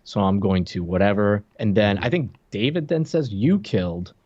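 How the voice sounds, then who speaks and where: Guy on a podcast, lowish voice, bit muffled and dry, chatty piecemeal explaining. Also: big pitch swings, moderate pace, darkish tone, dry recording. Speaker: podcast co-host. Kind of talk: casual chat explaining a plot.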